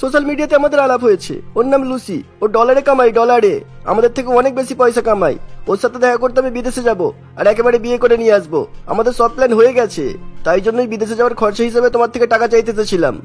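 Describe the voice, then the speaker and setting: An arrogant young cartoon man with a very high voice. He rattles along quickly, brash and scornful, bragging as if money were everything. Music plays clearly behind him. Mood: boastful, eager. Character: arrogant, money-minded young man berating his sister-in-law. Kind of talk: animated moral-tale cartoon acting.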